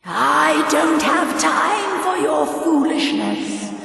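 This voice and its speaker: A woman's voice: sits high, lively intonation, very slow, creaky, fairly bright tone, faint music behind, full character voice. A woman playing a confused, grieving ghost searching for someone she lost in a video game, anxious and plaintive, with ghostly reverb. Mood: angry, impatient. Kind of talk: video game character dialogue. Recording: echoing, distant ghostly reverb.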